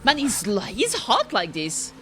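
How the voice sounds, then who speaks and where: A woman's voice: a little high, huge pitch swings, measured pace, bright, forceful tone, faint music behind. A woman streamer chatting casually, rambling and thinking aloud as she reacts to games.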